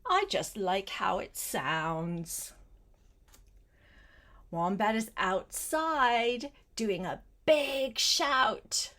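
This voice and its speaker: An older woman, a grandmother, reads a picture book aloud to a young child, gently adding simple asides and questions. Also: mid-range voice, very slow. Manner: gentle, simple child-directed talk with questions and short phrases.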